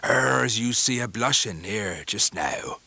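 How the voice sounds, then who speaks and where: A man voicing a bluff, warm-hearted old fisherman in an audiobook, with a husky, halting, heartfelt delivery. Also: on the low side, expressive, full character voice. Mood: joyful pride.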